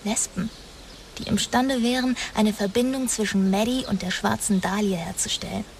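A creaky female voice of an actress plays a guarded, pleading daughter in a radio crime play. She confesses haltingly at a medium pitch, with faint noise behind her. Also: steady tone, natural voice. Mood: guarded, confessing. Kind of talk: dramatic radio play acting.

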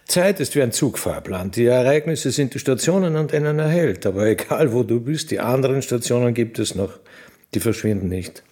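Man playing odd nervy genius logician, husky, voice goes up and down a lot, radio drama, earnest and musing. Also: medium pitch, animated, a little fast, a little mellow, lightly acted. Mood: musing, dreamy. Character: eccentric, fearful, brooding genius logician and lecturer.

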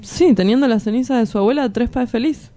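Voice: medium pitch, fast talker, mellow, lightly acted. An actor with an Argentine accent playing a cocky, romantic kidnapper in an audio drama, teasing and breezy. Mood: breezy scheming. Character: cocky young male kidnapper, sly romantic schemer. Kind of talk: dramatic dialogue acting.

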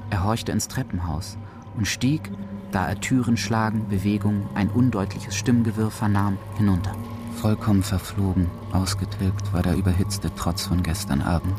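A man's voice: fairly low pitch, steady tone, moderate pace, music in the background. A man narrating a radio drama in a literary, dramatic style.

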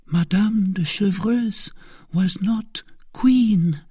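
A woman voicing a troubled queen, speaking in a hushed, breathy plea for a dramatic audiobook. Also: fairly low pitch, slow pace, dry recording, studio quality. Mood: anguished. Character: troubled queen, torn and fearful.

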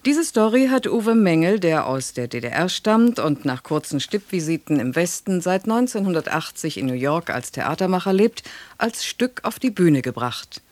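A radio announcer of unclear sex giving a scripted, measured introduction to a radio play. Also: mid-range voice, very animated, no room sound.

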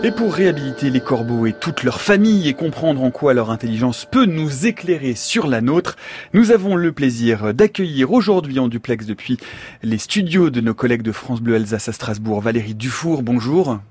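Male radio host, middle-aged, husky, studio mic, dramatic reads then relaxed. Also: mid-range voice, normal speed, faint music behind. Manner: theatrical scripted delivery, then relaxed with fillers. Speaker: radio science show host. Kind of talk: scripted radio introduction and interview. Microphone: studio microphone.

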